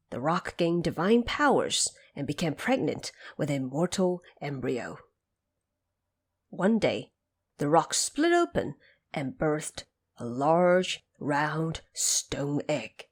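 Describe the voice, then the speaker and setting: A woman with a slightly low voice narrates a scripted story for an audio drama. Her phrasing is measured and expository, laid out like a storyteller explaining an old myth. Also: very animated, husky.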